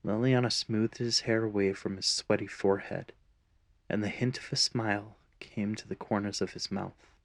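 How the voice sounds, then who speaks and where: A man's voice: fairly low pitch, fairly even intonation, normal speed, vocal fry, dry recording. A man narrating a scripted fantasy story in a dramatic storytelling style.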